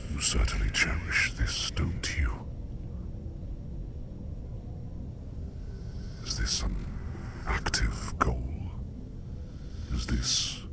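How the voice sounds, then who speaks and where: A man playing a curious, enigmatic sleep demon in a whispered ASMR roleplay, speaking in a hushed, deliberate and formal way with a breathy, gravelly voice. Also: very deep voice, noisy background, full character voice. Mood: probing, intrigued. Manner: slow, deliberate, hushed, formal wording with repeated phrases. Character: curious, enigmatic male sleep demon who walks dreams.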